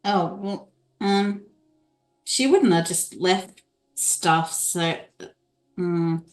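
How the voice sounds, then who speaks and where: A woman's voice: fairly low pitch, drawn-out words. A woman chatting casually as a player in a tabletop role-playing game.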